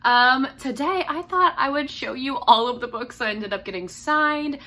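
A hoarse young woman in her twenties, chatting casually to camera about books at home, with lots of fillers and likes. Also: high-pitched, normal speed, pushed and bright. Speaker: book vlogger.

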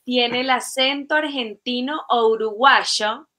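A woman's voice: on the high side, steady tone, takes it slowly, pushed and bright. A Colombian woman in her forties hosting a live video-call interview, chatty with many fillers and restarts. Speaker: host. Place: home room, video call.

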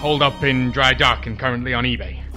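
An actor playing a self-important, penny-pinching superhero in a comedy audio drama, earnest and declamatory. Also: male voice, medium pitch, fast, pushed and bright, soft background music, close to an everyday voice. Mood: dismissive.

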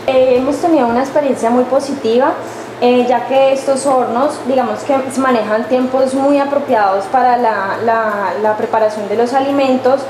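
A woman's voice: on the high side, fairly even intonation, normal speed. Hesitant young woman, echoey kitchen. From Colombia. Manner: hesitant, many fillers and restarts. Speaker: food service operator. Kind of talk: testimonial interview. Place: large industrial kitchen, indoors. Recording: echoey kitchen with noisy ambience.

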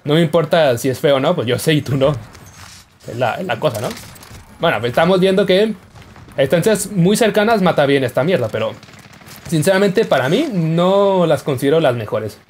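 A man's voice: on the high side, lively intonation, moderate pace, a little mellow, slight background noise. A young man giving casual, joking commentary over his gameplay. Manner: playful, jokey, yelps and laughs while playing. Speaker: gaming YouTuber.

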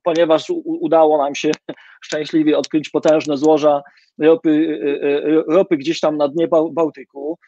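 A man's voice: a little high, flat delivery, moderate pace, warm tone, no echo. A middle-aged man interviewing a guest over a video call, hesitant with many fillers and restarts. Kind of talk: hesitant literary interview questions. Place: home room with bookshelves. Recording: dull lo-fi video call audio.

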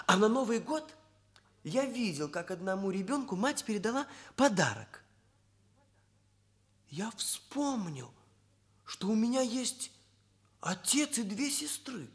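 A sensitive young man, a hairdresser in a Soviet radio play. His voice is high and moves in wide swoops. He speaks earnestly, in oddly formal phrases, with a touch of self-importance. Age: young adult. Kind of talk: Soviet radio drama acting.

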